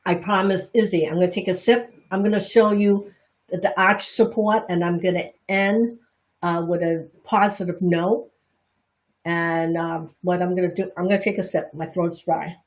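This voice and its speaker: A middle-aged woman from Boston with a Chinese Bostonian accent, talking through a reflexology lesson on a livestream. Her voice sits a little low, and her delivery is chatty and candid, with frequent restarts and self-deprecating asides. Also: fairly even intonation, moderate pace. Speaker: reflexology teacher. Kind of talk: livestream teaching talk.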